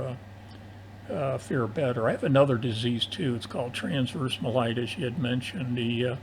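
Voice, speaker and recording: An older man chatting casually over amateur radio in long turns, with a halting, hesitant delivery. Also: mid-range voice. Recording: noisy amateur AM radio audio.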